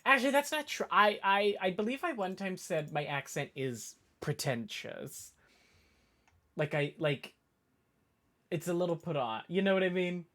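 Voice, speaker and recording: A woman's voice: fairly low pitch, lively intonation, a little slow, fairly bright tone. A woman from the suburbs outside Boston with a light Boston accent, chatting casually with her stream chat and rambling through restarts.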